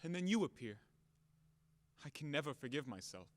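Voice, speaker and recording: Man playing high-voiced, hot-headed lovestruck gentleman. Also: sing-song, normal speed. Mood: bitter, self-reproaching. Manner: melodramatic, declamatory, swinging from bluster to pleading. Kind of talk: theatrical audio play acting. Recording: long hall reverb, sometimes off-mic.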